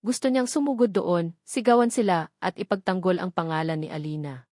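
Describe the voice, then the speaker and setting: A woman's voice: lowish voice, brisk, darkish tone, dry recording, studio quality. A woman with a Filipino accent reading a scripted romance story in a dramatic narrating style.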